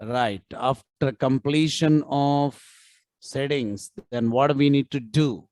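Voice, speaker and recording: A man's voice: mid-range voice, takes it slowly, darkish tone, no echo. A man teaching a live online coding class, nudging his students to respond and repeating his prompts. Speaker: programming instructor.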